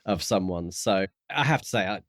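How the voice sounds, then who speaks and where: Man, lowish voice, podcast co-host, tentative, thinking aloud, casual discussion, very dry close recording. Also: expressive, moderate pace, dry recording.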